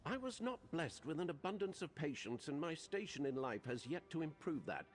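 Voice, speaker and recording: An actor playing a haughty, impatient, suspicious prince in a video game, speaking in formal, imperious phrases. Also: male voice, fairly high pitch, big pitch swings, brisk. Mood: haughty irritation. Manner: imperious, disdainful, formal diction. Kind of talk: scripted video game dialogue.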